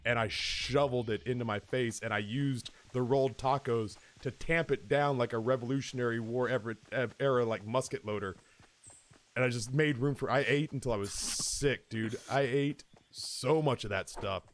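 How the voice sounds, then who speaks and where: A man streaming games who tells comic stories casually, in an animated, exaggerating and profane way. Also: medium pitch, moderate pace. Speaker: game streamer. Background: music in the background.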